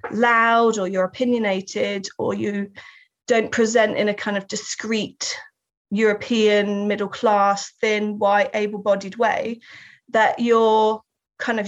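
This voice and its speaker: A woman with a Southern English accent, talking thoughtfully as an interview guest, often laughing and restarting her sentences. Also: medium pitch. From England. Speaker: guest artist and writer. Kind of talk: reflective interview talk. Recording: slightly dull lo-fi sound.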